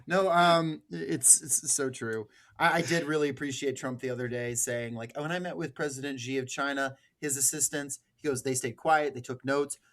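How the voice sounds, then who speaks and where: A man talks as a podcast host in a loose, conversational style. His voice sits at an average pitch, and he is animated and informal, swearing freely. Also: normal speed, hardly any pauses. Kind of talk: casual podcast discussion.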